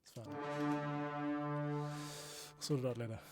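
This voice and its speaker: A man's voice: fairly high pitch, level tone, drawn-out words, over loud music. A man hosting a livestream, casually thinking aloud as he works. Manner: laid-back, thinking aloud. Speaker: livestream host, composer. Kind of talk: casual work-along commentary.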